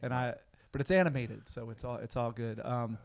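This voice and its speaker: A man's voice: mid-range voice, fairly even intonation, fairly quick, gravelly. A man hosting a podcast, asking easygoing follow-up questions in casual interview talk. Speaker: podcast host.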